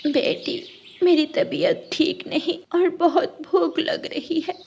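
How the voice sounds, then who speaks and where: An elderly mother-in-law bird from a children's storybook, acted out by a woman. Her manner is plaintive and pleading, in a high feminine voice. Birdsong and noise sit behind her. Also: fairly even intonation, takes it slowly, a little mellow, exaggerated voice. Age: older. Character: old mother-in-law bird, harsh, later weepy and remorseful.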